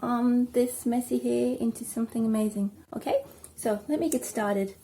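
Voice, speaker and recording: A South African woman chatting casually at home while doing her hair, rambling and filling pauses with ums. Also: a little high, noisy background. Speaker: vlogger. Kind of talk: casual hair tutorial chat.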